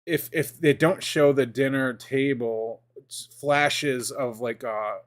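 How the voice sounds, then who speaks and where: A man in his forties chatting casually as a streamer, rambling and excitable, with lots of fillers and restarts. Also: mid-range voice, slow. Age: 40s. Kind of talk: casual gaming chat.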